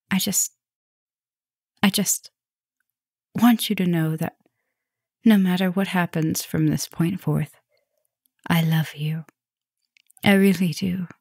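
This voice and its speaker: Casting: woman to play affectionate soft-dom vampire professor for ASMR roleplay; lowish, unhurried, breathy, slips into whispers, teasing. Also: steady tone, dry recording, clean studio sound, exaggerated voice. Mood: tender, loving.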